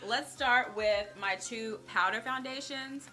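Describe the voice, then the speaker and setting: A young woman casually chatting to camera as a beauty vlogger, upbeat and talking straight to her viewers, her voice a little raspy. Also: on the high side, takes it slowly, pushed and bright, soft background music. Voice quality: slightly raspy.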